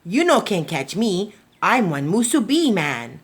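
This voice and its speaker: A woman's voice: medium pitch, big pitch swings, measured pace, breathy edge. A woman voicing a gleeful little runaway rice man from a children's storybook, taunting in a chant with Hawaiian Pidgin phrasing. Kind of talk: children's storybook read-aloud.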